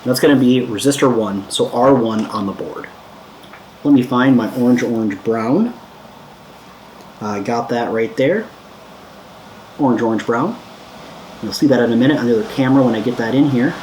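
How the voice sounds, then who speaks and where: Easygoing guy doing a casual diy tutorial, mid pitch, echoey room w a bit of noise. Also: normal speed, long pauses, darkish tone. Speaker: host of a DIY electronics repair tutorial. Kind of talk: casual hands-on tutorial talk.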